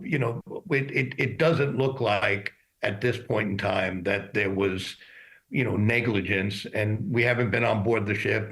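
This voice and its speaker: Man, mature, lowish pitch, industry expert guest, halting delivery, matter-of-fact explaining. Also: a bit bright, low-quality recording. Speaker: shipping executive as expert guest. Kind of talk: unscripted expert commentary in a news interview.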